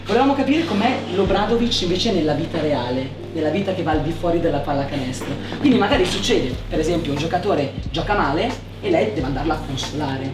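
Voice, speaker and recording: A young man puts conversational interview questions at a quick pace. He sounds distant from the mic in an echoey room. Music plays behind him. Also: on the high side, expressive. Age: 20s. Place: furnished indoor lounge, on a sofa.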